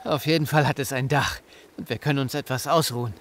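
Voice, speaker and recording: An actor playing a practical survivor of a zombie apocalypse in a German audio drama. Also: male voice, medium pitch, steady tone, fast, a bit bright, a little hiss or hum, natural voice.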